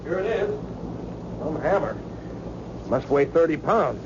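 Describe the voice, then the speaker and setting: An actor playing a doubtful Canadian lab assistant in a 1960s radio drama, laconic and hesitant. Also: male voice, a little high, lively intonation, measured pace. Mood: reluctant effort. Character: doubtful young male Canadian laboratory assistant. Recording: dull, noisy old radio recording.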